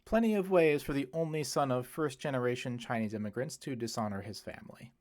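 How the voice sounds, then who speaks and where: A man voicing a genial older priest, a wry former hippie who talks in gently eloquent, wordy phrases for an audiobook. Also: mid-range voice, brisk, lightly acted. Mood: amused, nostalgic.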